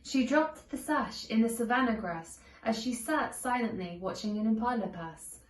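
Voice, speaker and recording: The voice comes from a little way off in an echoey room. It is a woman with a fairly high pitch, reading a children's story aloud. Her delivery is deliberate and measured, shaped for read-along listening. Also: expressive. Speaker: storybook narrator. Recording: echoey room, distant from microphone.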